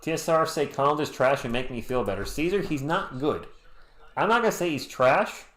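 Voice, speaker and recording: A man's voice: on the high side, lively intonation, fairly quick, fairly bright tone. A man from Western Massachusetts calling a basketball game play by play on a live stream, chatting with viewers and cracking jokes between plays. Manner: chatty, answers chat messages with joking asides. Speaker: sports stream host. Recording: roomy echo.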